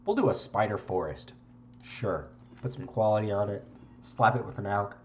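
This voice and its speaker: Congested young man, low-voiced. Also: moderate pace, slight background noise. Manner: relaxed, rambling, swears casually. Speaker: game streamer. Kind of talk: casual gameplay commentary.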